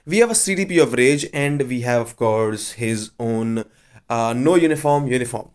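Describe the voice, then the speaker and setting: A man's voice: medium pitch, expressive, normal speed, clean tone. A man with a cold hosting a casual game walkthrough, chatty and blunt with offhand verdicts. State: has a cold. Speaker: gaming channel host.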